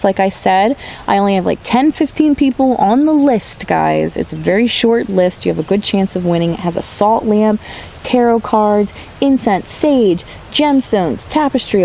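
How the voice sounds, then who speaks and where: Gravelly, mellow-voiced woman tarot reader. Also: medium pitch, slight background noise. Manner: reassuring, drawn-out, repeats "okay?". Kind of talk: tarot reading video. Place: outdoors in a forest.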